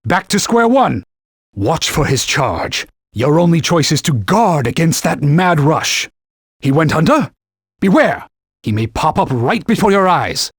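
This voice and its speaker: A proud talking sword from a video game, a stern mentor who barks combat advice and scorns his partner. His husky voice sits high and swings widely in pitch. The studio recording is dry and close. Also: sing-song, a little slow, dry recording, heavily acted. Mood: instructive. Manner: scolding, calls his partner fool and knave; commanding, gives combat tips.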